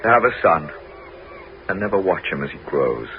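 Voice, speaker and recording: Homesick crafty greek king, super deep voice, old radio play, muffled n hissy. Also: big pitch swings, normal speed. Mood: weary, brooding. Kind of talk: 1970s radio drama acting. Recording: dull, noisy old radio broadcast recording.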